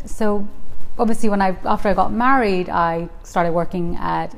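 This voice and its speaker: A woman from Tanzania shares her career story at an even, middle pitch. She speaks in a relaxed, reflective way and often pauses with an um. She is recorded inside a house. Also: vocal fry. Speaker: guest, property developer. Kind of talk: casual interview chat.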